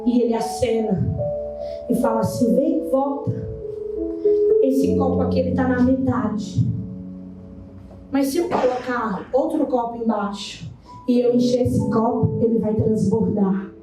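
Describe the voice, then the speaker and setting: A hoarse woman with a Brazilian accent preaches in a high, sing-song voice that keeps rising into fervent calls. She is picked up from a distance in a strongly echoing church hall. Loud worship music plays beneath her. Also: unhurried, long pauses. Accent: Brazilian Portuguese. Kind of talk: sermon. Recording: echoey church hall from far off. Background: loud instrumental worship music.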